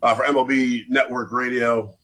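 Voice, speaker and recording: A man's voice: mid-range voice, level tone, moderate pace, fairly bright tone. A man in his twenties hosting a sports talk radio show, often rephrasing himself as he goes. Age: 20s.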